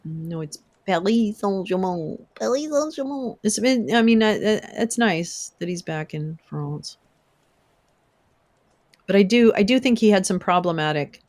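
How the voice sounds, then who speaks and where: A young woman hosting a casual livestream, chatting with viewers, swearing and laughing often, her voice wearing hoarse. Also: low-pitched, darkish tone. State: losing her voice. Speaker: livestream host. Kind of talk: casual livestream chat reading viewer comments.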